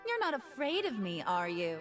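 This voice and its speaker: Video game fox woman, centuries old,: higher register, very expressive pitch, teasing yet tender. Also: moderate pace. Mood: playful, teasing. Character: ancient nine-tailed fox woman, playful yet wistful. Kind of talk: scripted video game voice lines. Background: music.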